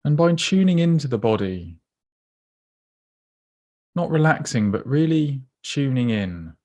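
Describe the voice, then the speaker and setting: A man leading a guided body scan meditation in a gentle, unhurried, instructive way, leaving pauses between phrases. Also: mid-range voice, very animated.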